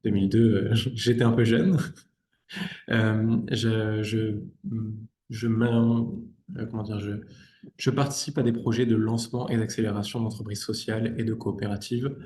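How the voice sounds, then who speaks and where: Echoey low-voiced man, southwestern French accent. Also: darkish tone, slight background noise. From southwest France. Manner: inviting participants to discuss. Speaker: trainer, sales and strategy expert. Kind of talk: online training presentation. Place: indoors, on a video call. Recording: roomy, echoing sound.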